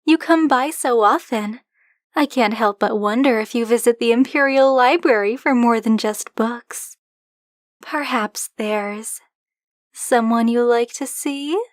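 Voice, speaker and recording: A woman's voice: fairly high pitch, measured pace, dry recording, studio quality, natural voice. A woman playing a flirty, possessive dragon-blooded librarian in an ASMR roleplay, speaking to the listener in a teasing, coy, insistent manner. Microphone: close, dry microphone.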